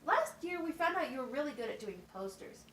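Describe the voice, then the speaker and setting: A woman acts out a methodical, encouraging teacher in a puppet show. Her voice is fairly high with lively intonation. She guides with plain questions and explanations, heard in a roomy recording. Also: fairly quick. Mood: encouraging, instructive. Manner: instructive, question-led, plain wording. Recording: roomy, distant mic, faint noise.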